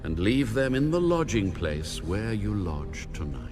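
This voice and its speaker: Audio Bible God: very slow, solemn, commanding, sweeping intonation over prominent music. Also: male voice, fairly low pitch, very animated. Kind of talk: dramatized audio Bible acting.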